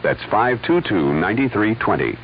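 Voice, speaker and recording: A man's voice: lowish voice, sing-song, a little slow. A man reading a scripted radio commercial as an announcer. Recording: dull radio recording with hiss.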